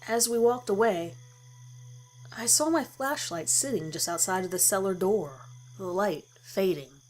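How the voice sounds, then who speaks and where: A woman's voice: mid-range voice, a little slow, a little mellow, rough recording. A woman reading a first-person horror story as a dramatic scripted narration. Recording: music behind the voice. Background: music.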